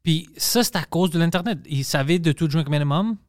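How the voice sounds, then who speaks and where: Sweary bilingual comic host, halting accented Quebec French. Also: male voice, on the high side, no room sound, studio quality. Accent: non-native Quebec French with English mixed in. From Montreal, Canada. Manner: sweary, halting French peppered with English slang. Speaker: podcast host and stand-up comedian. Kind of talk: casual podcast chat.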